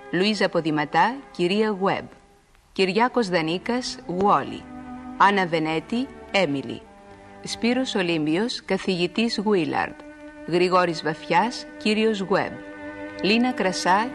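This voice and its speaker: A woman radio announcer reading scripted credits in a formal, measured style. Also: lowish voice, a bit bright. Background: music.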